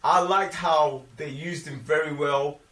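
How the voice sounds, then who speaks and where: A man's voice: fairly high pitch, steady tone, measured pace, bright, forceful tone, recorded from a distance. A man chatting casually about film, emphatic and slangy, acting out little quotes as he goes. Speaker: film review show co-host. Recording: echoey room, off the mic.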